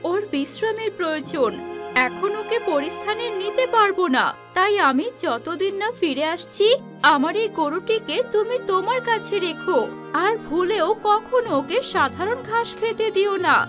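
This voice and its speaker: A stern cartoon fairy, super high voice, commanding n dramatic, music behind. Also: female voice, expressive, moderate pace. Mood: earnest instructing. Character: stern female fairy, protective and vengeful.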